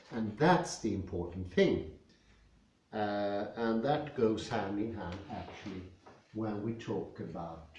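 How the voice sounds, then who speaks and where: A man with a Swedish accent giving a spoken lecture, thoughtful and pausing often with fillers. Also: on the low side, darkish tone, slight background noise. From Sweden. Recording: echoey room, mic at a distance.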